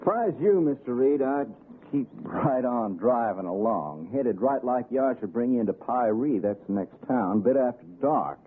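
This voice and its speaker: An actor playing an earnest, deferential mountain lawman with an Appalachian accent and halting, folksy manner in 1960s radio suspense. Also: mid-range voice, animated, a little hiss or hum, close to an everyday voice. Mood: earnest warning. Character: slow-spoken, earnest backwoods mountain lawman, locally born. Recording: tinny old radio broadcast.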